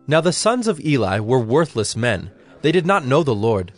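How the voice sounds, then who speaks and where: A man's voice: mid-range voice, big pitch swings, normal speed, soft background music. A man narrating scripture from a script in a solemn style.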